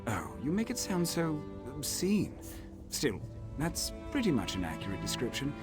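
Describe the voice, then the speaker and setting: An actor playing a cocky, sardonic assassin in a fantasy video game, talking in a wry, casual, sly way. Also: male voice, a little high, huge pitch swings, moderate pace, over music. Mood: wry, matter-of-fact. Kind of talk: video game character acting.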